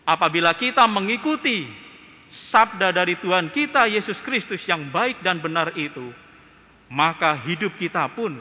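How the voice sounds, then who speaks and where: A young man with an Indonesian accent, preaching a homily from a church lectern mic, slow and emphatic with rising and falling phrases. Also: fairly high pitch, bright, forceful tone. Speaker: priest. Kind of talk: homily at Mass. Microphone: gooseneck lectern mic. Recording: reverberant church.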